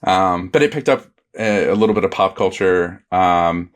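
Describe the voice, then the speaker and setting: A man's voice: sits low, expressive, moderate pace. A man chatting on a comedy podcast, rambling along with hesitant ums and restarts. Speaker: podcast panelist. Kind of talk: casual comedy podcast chat.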